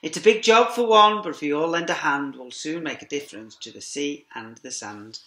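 A middle-aged man teacher reading a rhyming picture book aloud to young children in a lively sing-song way, with quiet asides to them, filmed on a laptop webcam in a classroom. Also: on the high side, moderate pace, a little hiss or hum. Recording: echoey classroom.

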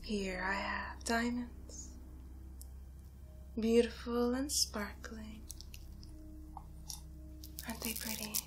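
Young woman as vengeful witch, ASMR roleplay. Breathy whispers, deliberate and drawn-out delivery, noticeable noise behind the voice. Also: a little high, little pitch movement. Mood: hushed, intent. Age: young adult. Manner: slow, deliberate, soothing yet menacing. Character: sly, vengeful young witch brewing a hex. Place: small bedroom, indoors.

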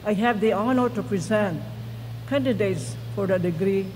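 A woman's voice: mid-range voice, normal speed, darkish tone. A woman dean formally presenting nursing candidates at a podium microphone. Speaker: college dean. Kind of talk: formal ceremonial address. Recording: reverberant hall, faint noise.

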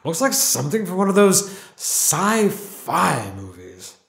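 An actor playing a breezy, joking, curious morgue doctor in an audio drama, with a jovial, leisurely manner. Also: male voice, a little high, big pitch swings, slow, creaky, a bit bright, roomy sound, exaggerated voice. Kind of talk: scripted audio drama acting.

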